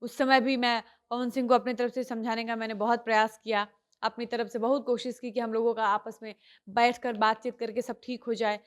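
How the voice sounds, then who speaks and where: A woman's voice: fairly high pitch, little pitch movement, fairly quick. A woman presenting an online news report, explaining the story as she retells it.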